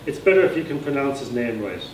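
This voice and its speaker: A man's voice: medium pitch, animated, quick pace, natural voice. A man voicing a sensible, composed Irish woman who is soon to be engaged, patient and matter-of-fact, in a storybook reading. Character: composed, sensible Irish bride-to-be, gently correcting. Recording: roomy, distant mic with background noise.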